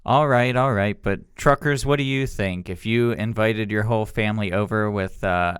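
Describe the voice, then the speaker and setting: A man's voice: fairly low pitch, normal speed, fairly bright tone, smooth, dry recording, clean studio sound. A young man co-hosting a podcast with casual, conversational banter. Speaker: podcast co-host.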